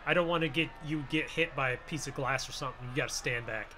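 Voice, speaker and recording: An American man hosting a gaming roleplay video, exclaiming in casual, excited commentary. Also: medium pitch, fast talker. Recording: clear noise, vehicle ambience behind.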